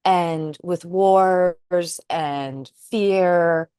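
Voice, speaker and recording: A woman's voice: on the low side, extremely slow, warm tone, smooth, no echo, clean studio sound. A woman who is a trauma psychotherapist, co-hosting a podcast and speaking in a thoughtful, earnest way. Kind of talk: casual chat.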